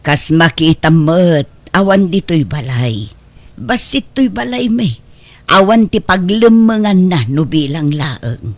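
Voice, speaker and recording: Woman as middle-aged godmother, protective, concerned, expressive, radio drama, dry recording. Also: fairly low pitch, slow, no echo, exaggerated voice. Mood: defensive, evasive. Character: protective, sympathetic godmother sheltering a runaway. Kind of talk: Ilocano radio drama acting.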